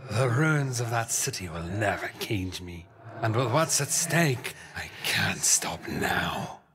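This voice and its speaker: An actor playing a haughty, scornful, scheming duke in a fantasy video game, speaking in a theatrical, measured, condescending way. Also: male voice, lowish voice, very animated, over music. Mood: grim, resolute. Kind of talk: dramatic video game character acting.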